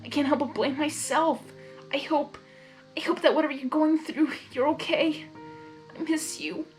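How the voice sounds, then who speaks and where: A woman plays an earnest young pony mare from a storybook, heavy with sorrow. The voice is high and soft, with a sighing manner. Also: steady tone, moderate pace, soft background music, natural voice. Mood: sad, regretful.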